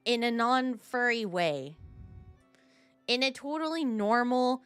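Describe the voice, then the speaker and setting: A woman's voice: on the high side, lively intonation, slow, fairly bright tone, clear, resonant tone, dry recording, soft background music. A young adult woman giving casual live game commentary as a streamer, in playful, rambling asides with repeated words.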